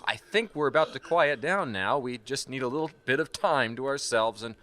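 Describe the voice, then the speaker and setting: An actor playing an anxious, protective young husband in an audio horror drama, placating and exasperated. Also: male voice, mid-range voice, lively intonation, moderate pace, pushed and bright. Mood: politely placating. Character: anxious, protective young husband soothing his panicking wife.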